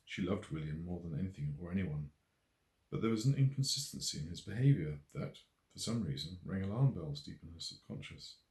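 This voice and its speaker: A man with a deep voice narrates a story in a measured, expressive literary style. The recording is roomy, with audible echo around the words. He reads scripted prose as an audiobook narrator. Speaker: author reading own novel aloud.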